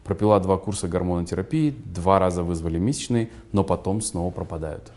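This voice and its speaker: Low, mellow-voiced male host. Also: brisk. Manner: brief listening murmurs, reads questions aloud. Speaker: interview host. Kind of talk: interview, reading viewer questions.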